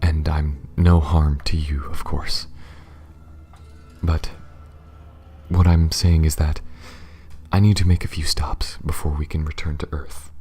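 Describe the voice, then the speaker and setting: A man playing a calm, reassuring alien spaceship pilot in an ASMR roleplay, speaking softly and haltingly, often near a whisper. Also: rumbling low, breathy edge, soft background music, full character voice. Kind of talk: ASMR roleplay addressed to the listener.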